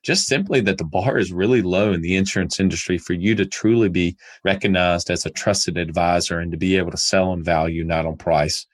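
A man's voice: sits low, fairly quick, few pauses. A man hosting a leadership podcast, upbeat and grateful, sounding a little nasal from illness. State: nasal from a cold. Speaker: podcast host. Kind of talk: podcast interview and outro.

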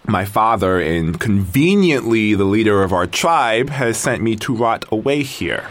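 Exasperated young exile, wildly swinging pitch. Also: male voice, expressive, measured pace. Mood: wry, resigned. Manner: sardonic, dramatic, easily irritated. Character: exasperated exiled young tribesman keeping an audio journal. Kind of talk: audio drama acting, first-person journal monologue.

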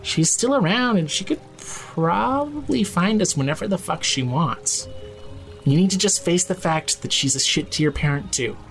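An actor playing a drunk, blunt, foul-mouthed young man who insistently and gruffly looks out for his friend in an audio drama. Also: high voice, lively intonation, moderate pace, a bit bright, faint music behind, exaggerated voice, low-quality recording. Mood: blunt, firm. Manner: slangy, insistent, gruffly caring. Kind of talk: audio drama acting, naturalistic.

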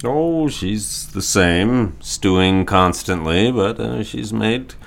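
A man's voice: on the low side, expressive, vocal fry, exaggerated voice. A man playing a genial, hesitant older father in a period audio drama. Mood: evasive. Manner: hesitant, unhurried.

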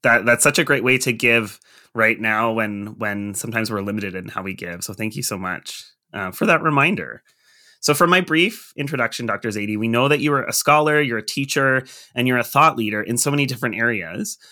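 A man hosts a podcast and poses long, carefully framed questions in a conversational manner. His voice sits at a middle pitch with a bright, forward edge. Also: fairly quick. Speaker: podcast host. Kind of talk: interview questions.

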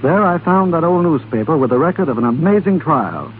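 A man's voice: fairly high pitch, lively intonation, fairly quick. An American man as a guest in a scripted 1930s radio interview, reading his answers stiffly. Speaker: studio talent executive as radio guest. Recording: tinny, hissy 1930s radio broadcast.

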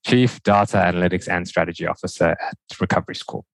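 Low-voiced man, mixed South African-New Zealand accent. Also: quick pace, gravelly, dry recording. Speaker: podcast guest, data and analytics executive. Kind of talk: conversational interview answers.

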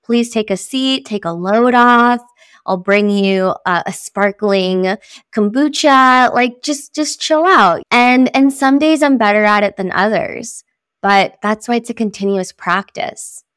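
A woman's voice: a little high, measured pace, a bit bright, smooth, no echo, studio quality. A woman whose first language is Spanish, a sound healer speaking earnestly and reflectively as a podcast guest. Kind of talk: spiritual podcast conversation.

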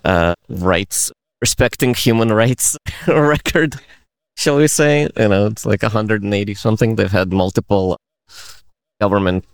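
Deep gravelly man. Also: dry recording. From Uzbekistan. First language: Russian. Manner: hesitant, wry understatement. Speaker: meetup attendee. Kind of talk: casual group conversation. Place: indoor event space.

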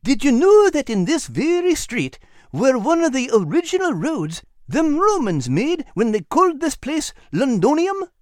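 A man's voice: very high voice, wildly swooping pitch, unhurried, dry recording, studio quality, pitched above the performer's own voice, full character voice. A man voicing a chubby, earnest animal character in a children's story, talking in an eager, folksy way. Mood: chatty, proud. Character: chubby, earnest, excitable male animal with a paw.

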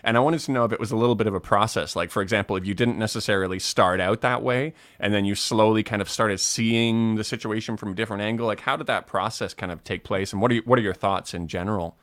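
A man hosting a casual video call chat, talking in a relaxed, hesitant way with many restarts. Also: fairly low pitch, quick pace, few pauses, dry recording, clean studio sound. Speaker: host.

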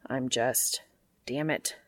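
A woman voicing a quiet, reserved, weary male hunter in an audiobook, murmuring and halting. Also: extremely low pitch, steady tone, no room sound, pitched below the performer's own voice, full character voice. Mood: subdued, awkward.

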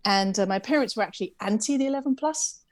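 A middle-aged woman from England with an English accent softened by years in America. She talks in a chatty, reflective way and laughs lightly at herself as she tells her story in an interview. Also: medium pitch, quick pace. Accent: English, with some American influence. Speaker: interview guest, camp CEO. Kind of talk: conversational interview.

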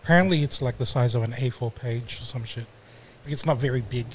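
A man co-hosting a casual podcast in an ordinary middle pitch. He is blunt and throws in quick quips, swearing freely as he chats. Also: slightly rough. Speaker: podcast co-host. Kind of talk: casual chat.

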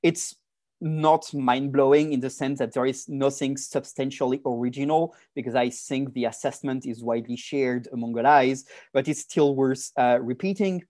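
A man's voice: medium pitch, fairly even intonation, moderate pace, a little mellow, no room sound, clean studio sound. French-accented man giving a methodical online talk. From France. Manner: methodical, lays points out one by one. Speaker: panelist. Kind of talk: online policy presentation. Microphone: video call.

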